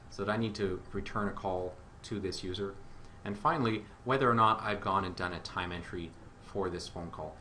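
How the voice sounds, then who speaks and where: A man with a fairly low voice narrates a software tutorial. He explains things step by step in a conversational way, pausing hesitantly now and then. There is some faint noise in the recording. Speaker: software demo presenter.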